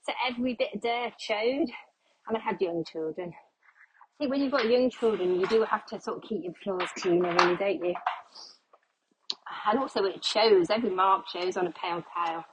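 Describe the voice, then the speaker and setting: A woman's voice: medium pitch, normal speed, background noise. A middle-aged woman, chatting casually while mopping her kitchen, a little out of breath and slightly congested as she loses her voice. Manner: chatty, self-deprecating, thinks aloud. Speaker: cleaning vlogger. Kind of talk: casual chat while cleaning.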